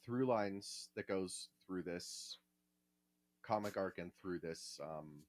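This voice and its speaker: A man co-hosting a podcast, explaining things casually and haltingly as he thinks aloud. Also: sits low, slight background noise. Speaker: podcast co-host.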